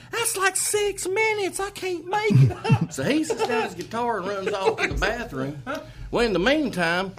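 Lively laughing storyteller man. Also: sits high, slight background noise. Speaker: band member guest. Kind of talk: casual joking storytelling.

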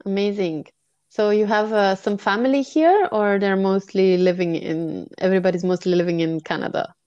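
A woman hosting a casual interview, friendly and full of quick affirmations and fillers. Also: medium pitch, a little mellow, clean tone, dry recording, dull audio. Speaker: interviewer host.

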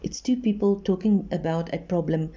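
An earnest woman gives relationship advice in a reassuring tone, repeating her points for emphasis. Her voice is somewhat low and very dark and mellow. The recording is slightly muffled and lo-fi. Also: moderate pace. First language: Afrikaans. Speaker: relationship advisor. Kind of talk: advice monologue.

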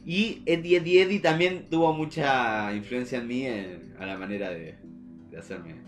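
A young man with an Argentine accent chatting casually as a podcast co-host, slangy and halting, with false starts. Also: medium pitch, soft background music. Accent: Argentine Spanish. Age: young adult. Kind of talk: casual chat.

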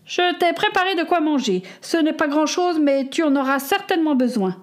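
A woman's voice: sits high, normal speed, voice pushed up, lightly acted. A lady voicing a big jolly ship cook, hearty and kind, storybook reading.

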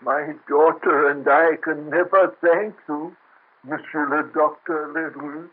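A fretful old count. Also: male voice, on the high side, flat delivery, slow pace, husky. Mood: anxious. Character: elderly male French count hiding in Paris. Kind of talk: 1930s radio drama acting. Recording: muffled lo-fi old radio transfer.

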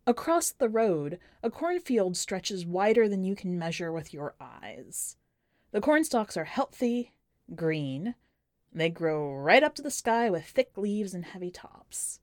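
Expressive woman reading, close dry sound. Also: mid-range voice, slow pace, dry recording. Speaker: podcast host and story reader. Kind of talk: expressive first-person short story narration.

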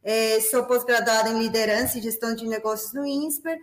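A woman's voice: on the high side, fairly even intonation, brisk, echoey room. A woman from Peru with a Spanish accent, hosting a corporate webinar in a friendly way and using many fillers. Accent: Peruvian Spanish. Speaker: webinar host, business development manager.

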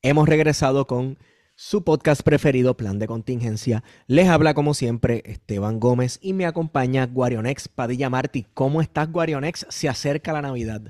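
Young Puerto Rican male podcast host, relaxed, chatty, loose delivery. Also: mid-range voice, moderate pace. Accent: Puerto Rican Spanish. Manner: relaxed, chatty, filler-heavy. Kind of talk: casual conversational podcast.

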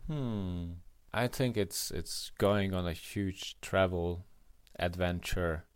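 A man's voice: low-pitched, slow pace, smooth, no room sound, clean studio sound. A young man from Hungary with a Hungarian accent, co-hosting a casual podcast chat and talking reflectively with many restarts. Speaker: podcast co-host. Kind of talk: casual conversation.

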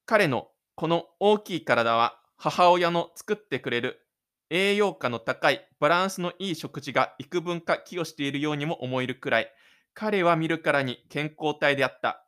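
A man with a fairly high, clean and smooth voice presents a homemade audio drama. He speaks as host and narrator, in a casual radio-show way or reading from his script. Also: moderate pace, fairly bright tone. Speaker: host and writer of a self-made audio drama.

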